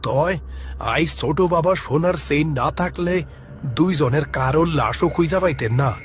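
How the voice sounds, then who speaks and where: A man's voice: on the high side, unhurried, soft background music, close to an everyday voice. A man playing a humble, frightened village boatman with a rural Bangladeshi Bengali dialect in a horror folk tale. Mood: fearful, apologetic.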